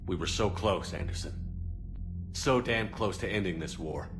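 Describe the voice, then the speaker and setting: An actor playing a stern male starship commander in a video game cutscene, speaking in a low, clipped way. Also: expressive, normal speed, music in the background. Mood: resolute.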